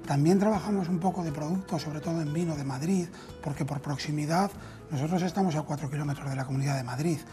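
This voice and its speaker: A man's voice: fairly high pitch, fast talker, dark tone. Castilian Spanish man over music. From Spain. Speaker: restaurant owner being interviewed. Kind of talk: conversational interview. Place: indoors in an empty restaurant dining room. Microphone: lapel mic.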